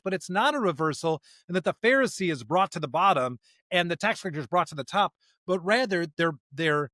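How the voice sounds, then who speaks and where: A man's voice: sits high, a bit bright, dry recording. A man co-hosting a podcast, discussing and reading scripture in an emphatic, conversational way. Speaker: podcast co-host.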